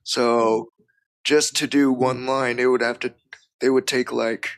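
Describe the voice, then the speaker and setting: A man guesting on a casual chat, a freelance voice actor with an everyday mid-pitched voice. He talks haltingly, restarting phrases and leaning on "you know". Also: flat delivery.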